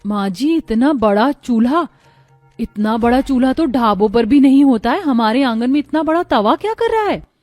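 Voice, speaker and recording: A woman's voice: on the high side, lively intonation, normal speed, a little mellow, no room sound, soft background music, natural voice. A woman voicing a young, breezy, work-shy daughter and new bride in a cartoon. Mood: astonished.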